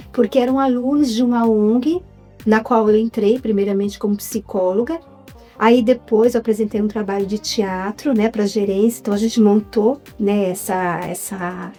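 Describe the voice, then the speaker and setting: A woman's voice: on the high side, dark tone. A woman from Brazil, a writer and former psychologist, telling her story in a casual interview with many hesitant fillers.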